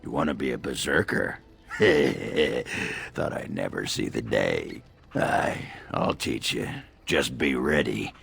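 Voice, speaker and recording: A crude, jovial dwarf berserker from a fantasy game. His voice is extremely deep and full of gravel, with a gruff, boozy, earthy delivery. Faint music sits behind it. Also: lively intonation, slow, a bit bright, full character voice. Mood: gruff, instructing. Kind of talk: video game character dialogue.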